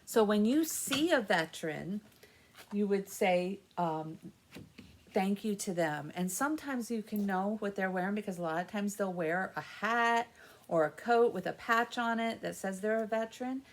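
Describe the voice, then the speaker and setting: A woman's voice: medium pitch, lively intonation, moderate pace. A woman hosting a children's story time, explaining things in simple, encouraging words addressed to young kids. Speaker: library story time host.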